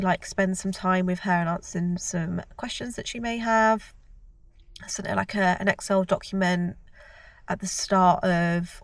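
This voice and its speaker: A woman's voice: mid-range voice, no echo. Woman, bunged-up cold voice, rambling casual vlog, very dry close sound, car. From United Kingdom. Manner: chatty and rambling, with many ums and self-corrections. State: congested with a cold. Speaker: self-employed nail tech vlogger. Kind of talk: casual vlog chat to camera. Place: inside a parked car. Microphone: handheld camera.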